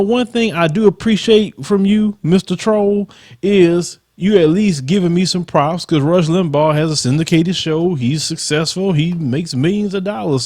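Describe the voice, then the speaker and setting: A man's voice: high-pitched, dark tone, dry recording, studio quality. A man with a Southern US accent and a slight lisp, hosting a channel where he reads troll comments aloud and rebuts them in a combative, emphatic way. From Southern United States. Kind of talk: casual commentary.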